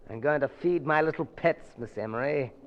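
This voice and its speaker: A man's voice: on the high side, moderate pace, dull audio, lightly acted. An actor in a 1940s radio drama playing a vengeful, sadistic madman villain, mocking and mock-polite in a theatrical way. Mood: gloating. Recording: noisy old 1940s radio recording.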